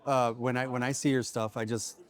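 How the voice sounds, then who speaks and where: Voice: medium pitch, level tone, a little fast. A podcast host in casual conversation, asking questions and offering brief encouraging replies. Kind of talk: casual interview talk.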